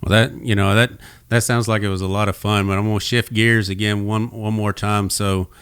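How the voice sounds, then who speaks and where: A man's voice: on the low side, flat delivery, fairly quick, a bit bright, clear, resonant tone, no echo, clean studio sound. A man hosting a podcast, casually talking sports and putting informal, wandering questions to his guest. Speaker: podcast host.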